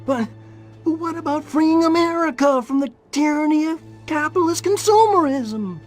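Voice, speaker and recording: A man's voice: extremely high pitch, animated, moderate pace, faint music behind. A man playing a hapless, earnest hippie revolutionary in a video game, whiny, faltering and melodramatic. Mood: bewildered. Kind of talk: video game cutscene acting.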